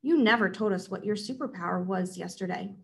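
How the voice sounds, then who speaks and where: A woman's voice: medium pitch, a little fast, gravelly, natural voice. A woman voicing an earnest, stubborn child hero in a children's storybook, challenging and suspicious.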